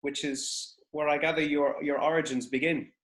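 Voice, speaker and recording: A man from Waterford with a softened Irish accent, hosting an interview in a chatty, enthusiastic way. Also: a little high, little pitch movement, moderate pace. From Ireland, Waterford. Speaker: host, interviewer. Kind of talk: casual interview over video call.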